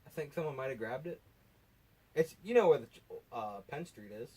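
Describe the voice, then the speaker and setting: A man's voice: medium pitch, lively intonation, normal speed, dark tone, breathy edge. Teenage American man, off the mic. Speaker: co-host. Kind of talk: casual storytelling chat. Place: basement room. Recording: voice somewhat distant from the mic.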